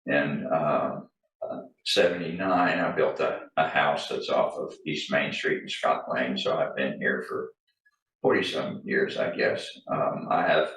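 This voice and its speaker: Older man, deep, hesitant panel guest, distant mic in a reverberant room. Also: fairly even intonation, fairly bright tone. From Arlington, Virginia. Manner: halting, many fillers and restarts. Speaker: guest, former town administrator. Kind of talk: casual panel conversation. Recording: echoey room from far off.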